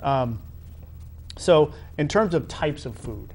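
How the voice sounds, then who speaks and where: A man hosting a conversational sports interview, feeling his way through questions in a halting manner and often rephrasing them. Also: fairly high pitch, animated, a little mellow, husky. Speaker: interviewer, show host.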